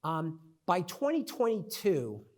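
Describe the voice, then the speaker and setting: A man's voice: high voice, wildly swooping pitch, slow pace, darkish tone. A middle-aged man with a New York accent, giving a conversational conference presentation with many fillers and restarts. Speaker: conference speaker.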